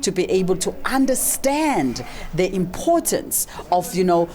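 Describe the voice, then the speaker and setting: A middle-aged woman hosting a talk show, with a deep voice, rambling through her points with many restarts and repeated words. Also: sing-song, measured pace. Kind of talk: casual talk show conversation.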